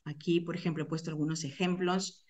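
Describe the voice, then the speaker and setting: Peruvian woman teaching, lower voice. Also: fairly even intonation, brisk, a little mellow. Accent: Peruvian Spanish. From Peru. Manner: hesitant, many fillers and self-corrections. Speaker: Spanish teacher presenting a webinar. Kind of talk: webinar presentation. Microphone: video call.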